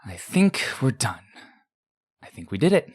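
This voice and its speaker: Male actor for patient, tired clerk in comic dub. Slow, didactic, expressive intonation. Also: medium pitch, husky. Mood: relieved. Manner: deliberate, didactic.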